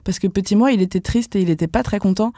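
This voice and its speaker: A young woman from France, a professional dancer, speaking candidly as a podcast guest, casual and animated, with lots of fillers and slang. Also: mid-range voice, quick pace, no echo, clean studio sound. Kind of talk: podcast interview.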